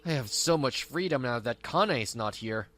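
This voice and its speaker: A man's voice: mid-range voice, animated, normal speed, a bit bright, natural voice. A man voicing a careless, cocky and lazy young husband in a manga dub. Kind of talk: scripted manga dub dialogue.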